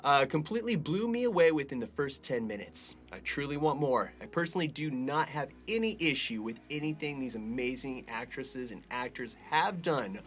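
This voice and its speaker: A man co-hosting a podcast in casual, jokey banter, quick to laugh. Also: mid-range voice. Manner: animated, jokey, laughs easily. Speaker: podcast co-host, voice actor. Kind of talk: casual banter, reading listener reviews.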